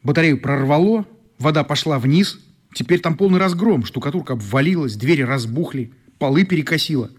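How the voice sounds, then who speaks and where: A frank, sympathetic man in a radio drama. His voice sits slightly high for a man and has a husky grain. The delivery is highly animated as he explains things. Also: brisk. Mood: regretful, explaining. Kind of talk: radio play acting.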